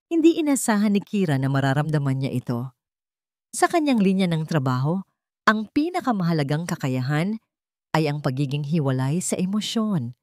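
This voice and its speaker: A woman narrating a scripted romance story in a measured, dramatic way with deliberate pauses. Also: deep voice, darkish tone, dry recording, clean studio sound.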